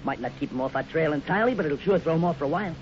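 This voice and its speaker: An actor playing a sly, scheming bank robber in a radio western, smooth-talking and sardonic in a folksy way. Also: male voice, fairly high pitch, animated, rapid-fire, lightly acted. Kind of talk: 1950s radio western acting. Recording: muffled 1950s radio recording, faint noise.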